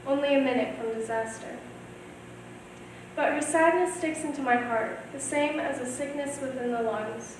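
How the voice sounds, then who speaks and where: Very echoey distant recording, teenage girl, high voice, student reading poetry. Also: normal speed. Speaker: student reader. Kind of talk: scripted poetic reading. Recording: very echoey room, distant mic, noisy.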